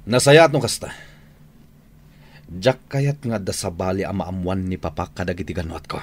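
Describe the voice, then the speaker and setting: A man's voice: low voice, normal speed. A man playing a resentful, suspicious grown son of a crime boss in an Ilocano radio drama, brooding and dramatic. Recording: noisy AM radio drama recording.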